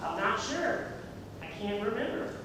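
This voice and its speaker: A man's voice: sing-song, a little slow, sharp tone, heavily acted. A man playing an exasperated, sarcastic character in a community theatre comedy. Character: exasperated, sarcastic man hunting for a lost thingamabob. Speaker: amateur stage actor. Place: theatre stage, distant microphone. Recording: noisy echoey hall from far off, rumble.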